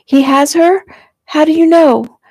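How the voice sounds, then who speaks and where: A woman's voice: high-pitched, measured pace, a little mellow, no echo, pitched above the performer's own voice. A woman voicing a distraught young woman in an audiobook, urgent and crying out in panic. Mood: panicked. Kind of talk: audiobook character acting.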